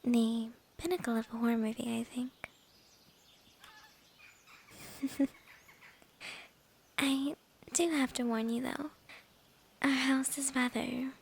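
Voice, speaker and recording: A woman acting a slow, whispery young vampire girl. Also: high voice, exaggerated voice. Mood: coy, amused. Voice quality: soft, breathy, often whispering. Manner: coy, hesitant, drawn-out phrasing with soft chuckles. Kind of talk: ASMR roleplay, intimate girlfriend-style monologue.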